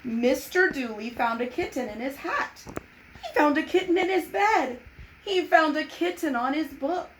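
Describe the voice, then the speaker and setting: A woman reading a children's storybook aloud in an expressive, deliberate, dramatized way. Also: sits high. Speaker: storybook reader. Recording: noticeable background noise.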